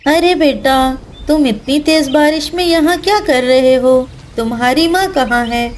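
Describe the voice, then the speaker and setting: Woman acting a high-voiced protective mother bird. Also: unhurried, slight background noise. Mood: caring. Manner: motherly, firm. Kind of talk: Hindi children's cartoon voice acting.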